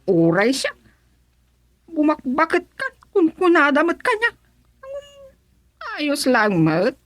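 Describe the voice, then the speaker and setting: A woman playing a chirpy, boastful gardener in an Ilocano comedy radio drama, with drawn-out comic delivery. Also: high-pitched, wildly swooping pitch, dry recording, exaggerated voice. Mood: teasing.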